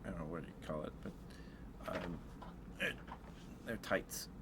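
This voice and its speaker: Deep voiced guy doing rambly asmr stream chat, sweet manner, clear background noise. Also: slow. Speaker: ASMR livestreamer.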